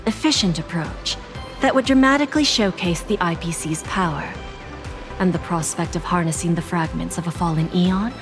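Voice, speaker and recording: A woman playing a poised, authoritative corporate insider, giving articulate, measured exposition in a video game. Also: lowish voice, natural voice. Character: composed, authoritative female corporate insider briefing allies. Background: game music.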